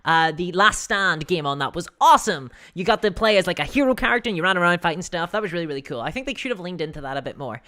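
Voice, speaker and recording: A livestream host with a hoarse voice, chatting casually and self-deprecatingly about tabletop games and answering viewers. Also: medium pitch, expressive, fast, hardly any pauses, bright, forceful tone. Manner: giggly, laughs often while talking.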